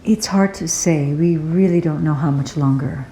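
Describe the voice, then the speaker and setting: A reserved duty nurse in an audio drama. Her voice is mellow, with a creaky edge, measured and grave. The sound is noticeably roomy. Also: deep voice.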